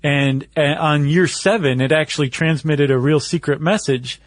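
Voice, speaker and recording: A man's voice: a little high, moderate pace, dry recording, studio quality. A man co-hosting a podcast, explaining things step by step in a casual conversational way. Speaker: podcast co-host.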